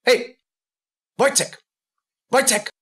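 An actor playing a callous, pompous doctor who declaims pedantically to students in a German radio play. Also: male voice, very high-pitched, steady tone, very slow, a bit bright, husky, full character voice. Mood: barking, impatient.

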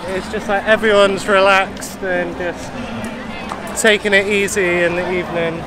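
A man's voice: high voice, little pitch movement, a little slow, a crowd in the background, background noise. A man in his thirties chatting casually and enthusiastically to a handheld camera as a travel vlogger out on busy night streets. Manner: enthusiastic, informal. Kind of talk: casual vlog chat to camera. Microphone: camera held at arm's length.

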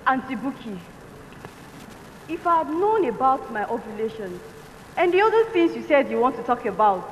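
An actress plays an anxious Nigerian girl of nineteen, a university student in a stage drama. Her high voice swings expressively in a reverberant hall. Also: sing-song, normal speed, long pauses. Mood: anxious, regretful. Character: anxious nineteen-year-old pregnant female student. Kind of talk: stage play dialogue. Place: indoor theatre stage set. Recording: reverberant hall, faint noise.

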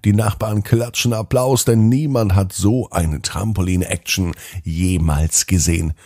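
A man's voice: low voice, fairly even intonation, measured pace, warm tone, no echo, clean studio sound. A man hosting a children's podcast and narrating a scripted bedtime story, speaking expressively and directly to young children.

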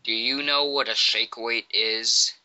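Sharp, clear-voiced man making a prank call. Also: medium pitch, fairly even intonation, measured pace, no room sound, dull audio. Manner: informal, provocative, feigning cluelessness. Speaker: prank caller. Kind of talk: casual prank phone call.

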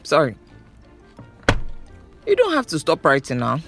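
Audio drama role: cheeky young Nigerian female cousin, pushy, lively intonation, unhurried. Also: low voice, soft background music, full character voice. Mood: teasing.

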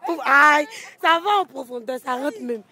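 A woman's voice: sits high, lively intonation, moderate pace, sharp tone. A young woman answering a street interviewer's mic outdoors at a market, exclaiming and talking loosely. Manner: giggly, exclaiming, loose talk. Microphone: handheld mic held by interviewer.

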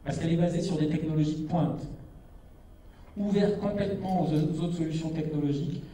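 A man in his fifties giving a product keynote on stage with a handheld mic, brisk with frequent hesitations. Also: on the high side, fairly even intonation, mellow, far from the mic. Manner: brisk, with many "euh" hesitations. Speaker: keynote presenter. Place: large dark stage with a big screen. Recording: echoey hall, voice from afar.

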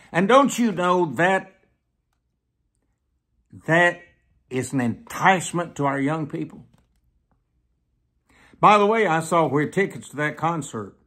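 Slow-paced older American preacher man. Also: fairly high pitch, lively intonation, a bit bright. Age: 70s. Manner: emphatic, deliberate, repeats phrases for weight. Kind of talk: sermon delivered to camera. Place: small plain room indoors.

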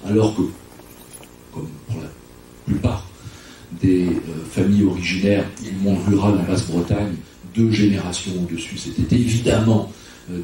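Low, mellow man in very echoey hall. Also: recorded from a distance. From Brittany, France. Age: 50s. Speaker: lecturer. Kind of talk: earnest public talk. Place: conference hall, on stage. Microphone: microphone in front. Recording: very echoey hall, faint noise.

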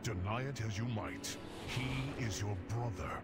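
A man voicing a solemn, wise, sorrowful dragonkin elder for a video game, speaking gravely and earnestly. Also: on the low side, measured pace, close to an everyday voice. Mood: stern, pleading. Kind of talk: video game character acting. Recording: loud game music behind.

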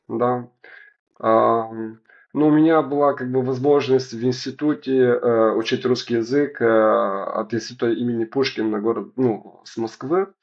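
A man in his forties from Romania, speaking Russian as a second language with a Romanian accent, answering interview questions slowly and searching for words with many fillers. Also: medium pitch, dull audio. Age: 40s. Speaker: sommelier guest. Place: indoors at home, bright room.

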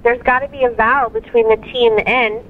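A woman's voice: a little high, steady tone, brisk. A woman telemarketer on a sales call, questioning insistently with an exasperated manner over a phone line. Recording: tinny phone line, faint noise.

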